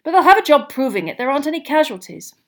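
A woman voicing a flustered middle-aged male official, talking urgently and fast. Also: high voice, sing-song, a bit bright, voice pushed up, heavily acted.

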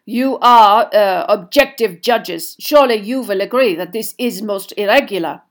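A woman's voice: on the high side, normal speed, close to an everyday voice. Woman acting a cold, scheming male headmaster. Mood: unctuous. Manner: disdainful, unctuous, indignant.

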